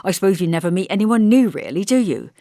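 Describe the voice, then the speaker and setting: A woman's voice: medium pitch, very animated, fast, mellow, no echo, studio quality, voice pushed up. A woman voicing a blunt, bossy young working-class man in an audiobook, brusque and insistent, with colloquial turns of phrase. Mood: lecturing. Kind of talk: audiobook character dialogue.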